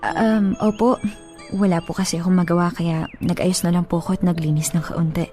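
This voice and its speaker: A woman's voice: fairly low pitch, steady tone, a little fast, mellow, soft background music, lightly acted. A woman playing a meek but devoted young girlfriend in a radio drama, speaking politely and earnestly with a Filipino accent. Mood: polite, hesitant.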